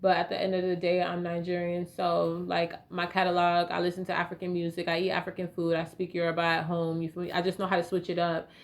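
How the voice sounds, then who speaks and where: A woman's voice: on the low side, monotone, fast, few pauses. A young woman from North Carolina, a guest rapper chatting casually in slang with lots of "you feel me". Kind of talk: casual interview chat.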